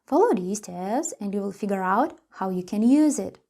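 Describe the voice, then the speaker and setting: Woman, mid-pitched voice, tutorial presenter, scripted instructional narration, step by step. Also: animated, moderate pace, mellow.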